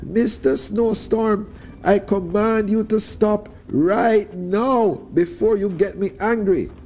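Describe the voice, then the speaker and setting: A man shouting as a boastful little trickster spider. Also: slow pace, a little hiss or hum. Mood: commanding. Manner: shouting high and loud, stretched words.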